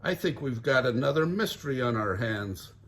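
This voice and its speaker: A man voicing a confident young gang leader in a steady, take-charge tone. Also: medium pitch, moderate pace. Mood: determined.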